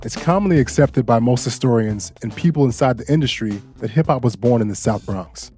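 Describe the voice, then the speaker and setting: A man hosting a news podcast, narrating a personal story in a relaxed, lightly joking way. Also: medium pitch, brisk, faint music behind. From Bangkok, Thailand. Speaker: guest host and audio engineer.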